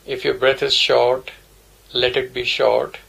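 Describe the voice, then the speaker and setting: A man's voice: medium pitch, level tone, slow, vocal fry, fairly bright tone, slightly rough, poor audio. A man teaching a guided meditation, giving simple instructions in short, repeated phrases. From India.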